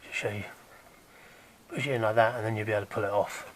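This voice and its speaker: A man with a croaky voice gives a casual, hands-on how-to while working on an engine in a garage, chatting along and dropping to a mutter as he works. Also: fairly low pitch, steady tone, quick pace, slight background noise. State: croaky, recovering from illness. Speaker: DIY car repair vlogger.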